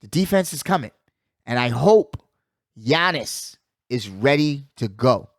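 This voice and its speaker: A man's voice: mid-range voice, animated, unhurried, dry recording, clean studio sound. A man hosting a sports show with casual, opinionated commentary, talking emphatically in slangy phrases and repeating himself to drive points home. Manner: slangy, conversational address to listeners.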